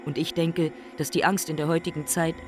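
An actor playing a brooding, philosophical ailing man in a radio play monologue, speaking in deliberate, emphatic short phrases. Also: mid-range voice, little pitch movement, music in the background, natural voice. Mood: brooding, reflective.

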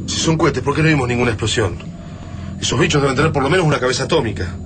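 A man's voice: mid-range voice, rapid-fire, lightly acted. An Argentine actor in a radio drama playing a weary resistance survivor who longs for his family, blunt and emotional. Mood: defiant, bitter. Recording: clearly noisy radio drama ambience.

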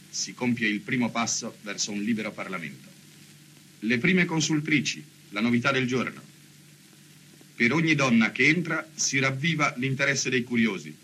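Bright, forceful male newsreel announcer. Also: mid-range voice, moderate pace. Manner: formal, declamatory. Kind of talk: 1940s newsreel narration. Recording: faintly noisy 1940s newsreel.

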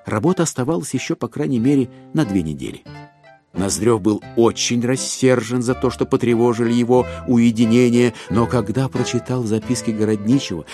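A man narrating a classic satirical tale in a measured, ironic literary manner. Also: mid-range voice. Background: music.

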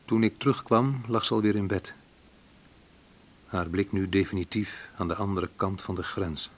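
Low, husky, wounded male narrator. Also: fairly even intonation, moderate pace. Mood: subdued, sorrowful. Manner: colloquial, sardonic, clipped short sentences. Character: bitter, wounded male narrator recalling his youth. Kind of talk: dramatised first-person narration, radio play.

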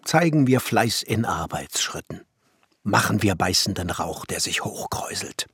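An actor playing a shrewd, deferential court alchemist in a German radio drama, speaking his inward thoughts in a husky, hushed voice. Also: on the low side, big pitch swings, normal speed, no echo, clean studio sound, full character voice. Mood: scheming.

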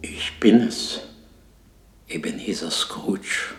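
A man's voice: low voice, takes it slowly, fairly bright tone. A man playing the solemn, haunting ghost of a dead business partner in a radio drama. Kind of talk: 1960s German radio drama acting. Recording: echoing, reverberant ghost voice.

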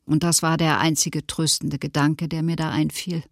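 An actress playing a sorrowful woman survivor, speaking in a halting, reflective way for a documentary radio play. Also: sits low, level tone, dry recording, studio quality. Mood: sorrowful, subdued. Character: sorrowful woman survivor recalling her mother and near death.

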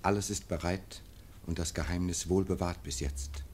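An actor playing a conspirator briskly assuring that all is ready. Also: male voice, low voice, steady tone, darkish tone. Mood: assured.

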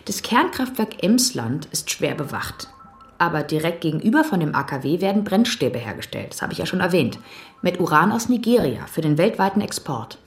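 An actress playing a wry, determined female police investigator in a radio crime drama, with an expressive way of talking. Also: on the low side, fairly quick, soft background music. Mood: explaining, pointed.

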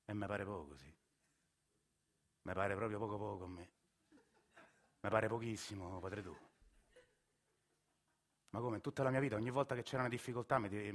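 A man's voice: medium pitch, a bit bright, close to an everyday voice. An actor playing a bitter Roman family man. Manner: bitter, sardonic storytelling, rising to outbursts. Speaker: actor in a monologue. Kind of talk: theatrical radio monologue.

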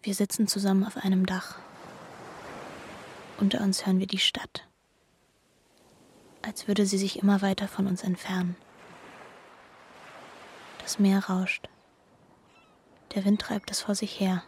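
Female, actress as wistful young woman for radio drama: breathy, hushed, flat-toned, tender, faint ambience behind. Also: medium pitch, a little slow, long pauses, slight background noise, natural voice. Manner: hushed, breathy, dreamy recounting. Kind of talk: literary radio drama monologue.

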